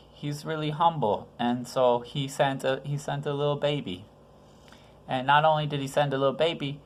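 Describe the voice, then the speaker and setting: Woman, low pitch, storybook reading, informal and conversational, simple phrasing for children. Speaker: storybook reader. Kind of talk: reading a children's Bible story aloud with casual asides.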